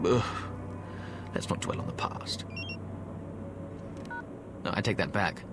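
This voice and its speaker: An actor playing a suave, decadent ancient undead Egyptian fixer in a video game, droll and languid with mocking theatrical asides. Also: male voice, deep voice, takes it slowly, over loud music. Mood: droll, wistful. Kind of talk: video game cutscene acting.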